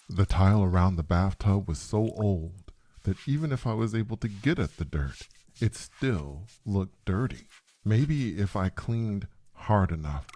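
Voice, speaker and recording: Guy reading a story out loud, slow and dramatic, voice goes up and down a lot. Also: lowish voice. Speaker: narrator. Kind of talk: scripted first-person story narration.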